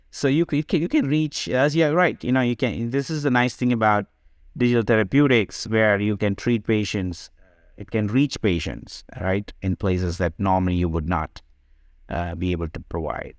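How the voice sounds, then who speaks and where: A man's voice: on the low side, fairly even intonation, normal speed, no room sound, studio quality. A man giving conversational interview answers as a podcast guest, explaining things and often checking in with a "right?". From Sri Lanka. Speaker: podcast guest, digital health company co-founder.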